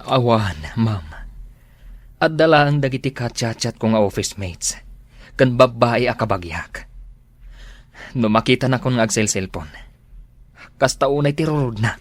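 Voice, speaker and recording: An actor with a Filipino accent playing an exasperated, weary husband in a radio drama. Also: male voice, medium pitch, expressive, measured pace, pauses often, no echo. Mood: dejected, pleading. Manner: weary, complaining. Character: exasperated husband worn down by jealous wife.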